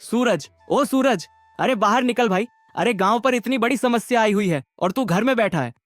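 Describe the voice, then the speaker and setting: An actor playing an anxious, folksy village headman in an animated moral story. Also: high voice, normal speed, faint music behind. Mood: calling urgently.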